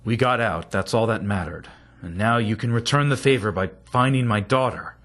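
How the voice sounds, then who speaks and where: A man's voice: fairly low pitch, normal speed, fairly bright tone. A man playing an earnest father in a video game, asking for help. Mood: urgent, pleading. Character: earnest father seeking help to find his daughter.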